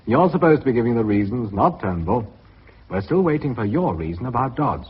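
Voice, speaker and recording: An actor playing a measured British vice chairman, formal and authoritative, with a husky voice in a radio drama. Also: mid-range voice, big pitch swings. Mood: brisk, probing. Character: measured male vice chairman presiding over a tense board meeting. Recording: dull lo-fi radio recording.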